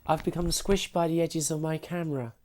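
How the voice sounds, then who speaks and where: Casting: woman game streamer, low mellow husky voice as if getting over sickness, casual and apologetic, music behind. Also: steady tone, fairly quick. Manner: self-deprecating, apologetic, giggly. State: recovering from sickness. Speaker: live streamer playing retro games. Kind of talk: casual live-stream gaming chat.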